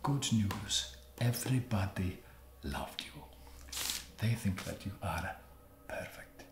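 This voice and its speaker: An awkward, fussy and faintly creepy vampire played by a man speaks extremely slowly in a low voice. He halts and hesitates softly, in an ASMR roleplay recorded with roomy echo. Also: animated, vocal fry, heavily acted. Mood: awkward, ingratiating. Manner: halting, hesitant, softly spoken. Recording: roomy echo, loud background noise.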